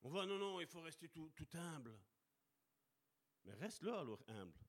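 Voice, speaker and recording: A man preaching a sermon with passion, slowing down to stress key words and calling out to his brothers and sisters. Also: on the high side, sing-song. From Italian heritage. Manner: impassioned, addresses congregation as brother and sister.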